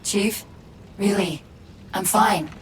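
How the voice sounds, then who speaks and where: A woman playing a quick-witted, caring but troubled military AI companion in a video game cutscene, natural and wry. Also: mid-range voice, a little hiss or hum, close to an everyday voice, rough recording. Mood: troubled, resigned.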